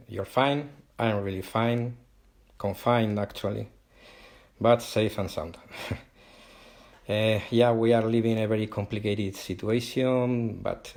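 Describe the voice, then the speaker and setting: A man's voice: medium pitch, darkish tone. Hesitant Spanish-accented man, middle-aged. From Spain. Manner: hesitant, frequent "uh" and "okay?" tags, self-correcting. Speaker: company CEO giving a virtual Q&A. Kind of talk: live online presentation.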